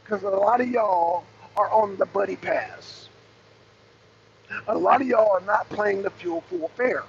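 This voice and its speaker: Raspy high-voiced man ranting. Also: measured pace, lo-fi sound, slight background noise. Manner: blunt and profane, calling out rivals. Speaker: host. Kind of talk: casual rant to a live audience. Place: in his truck. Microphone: phone camera.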